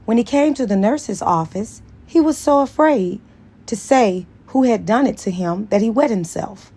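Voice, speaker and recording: A woman voicing a sassy, sharp-tongued young female school nurse in an audiobook, animated and slangy. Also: fairly high pitch, normal speed, breathy edge, voice pushed up. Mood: teasing, chatty. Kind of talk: dramatized audiobook dialogue.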